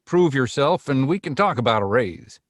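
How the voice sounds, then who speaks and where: A man reads a seedy private-investigation boss in his fifties. The pitch is middling but swings about, hesitant and evasive like a reluctant salesman, in a dry screenplay reading. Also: lively intonation, moderate pace, dry recording, lightly acted. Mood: evasive, businesslike. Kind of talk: screenplay table read.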